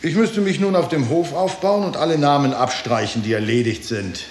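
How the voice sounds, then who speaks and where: An actor playing a jaded, cynical office clerk with an offhand manner in a 1960s German radio drama. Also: male voice, a little high, normal speed, echoey room, natural voice. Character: bored, cynical male office clerk of a sinister agency.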